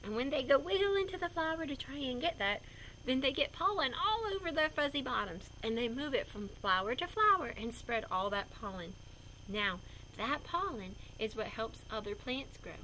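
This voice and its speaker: A woman reads a children's picture book in a fairly high, very animated voice. She explains things simply as she goes, in an expressive storyteller's style. There is some light noise in the recording. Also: normal speed, poor audio. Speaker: read-aloud storyteller for children.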